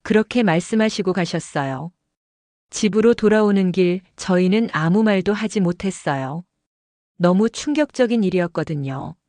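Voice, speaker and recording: Woman narrating a story calmly. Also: fairly low pitch, normal speed, no room sound. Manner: calm storytelling, first person. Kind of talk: scripted audio drama narration.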